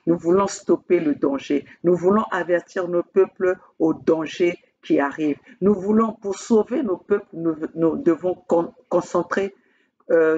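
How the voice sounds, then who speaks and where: A woman from Congo, addressing her camera as a political activist in an emphatic, repetitive way, halting often on fillers. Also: lowish voice, mellow, highs cut off, a little hiss or hum. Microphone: speaking to own camera.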